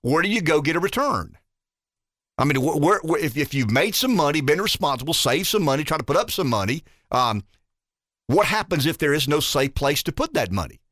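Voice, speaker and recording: Animated radio host man in his fifties. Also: on the high side, fairly quick, dry recording, clean studio sound. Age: 50s. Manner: animated, rambling, rhetorical questions. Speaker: radio talk show host. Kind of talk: talk radio monologue.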